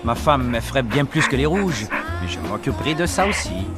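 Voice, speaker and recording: A man's voice: medium pitch, very animated, a little fast, natural voice. An amorous French officer , acted by a man, with a mid-range voice. He speaks intimately and reassuringly, a lover in a war game cutscene. Music plays underneath. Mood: tender. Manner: soothing, intimate.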